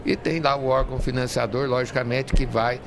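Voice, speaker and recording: A Brazilian man giving a casual interview as an association leader at a trade fair, halting and repeating words. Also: medium pitch, level tone. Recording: clear noise of a busy fair.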